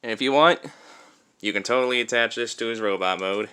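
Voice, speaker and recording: A man's voice: mid-range voice, brisk, pushed and bright, smooth. A man talking informally through a hands-on toy review, explaining things step by step. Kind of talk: casual hands-on product review.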